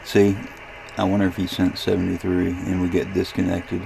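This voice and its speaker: A man casually narrating a software demonstration as a radio hobbyist, thinking aloud in a hesitant, rambling way with a gravelly voice. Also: low-pitched, completely flat delivery, normal speed, noisy background.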